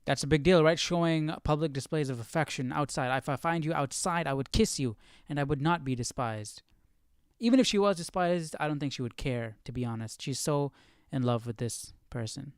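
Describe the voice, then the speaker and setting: A man hosting a casual Bible study livestream, thinking aloud in an informal, halting way. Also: on the high side, smooth, no room sound, clean studio sound. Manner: informal, halting, muses to himself.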